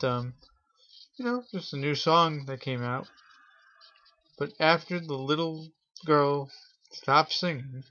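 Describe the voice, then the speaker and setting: Guy making up a scary story as he goes, really slow n halting, kinda muffled lo-fi sound. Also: mid-range voice, a bit bright. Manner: halting, pausing between short phrases. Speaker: host telling a scary story. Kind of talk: improvised spooky storytelling for viewers.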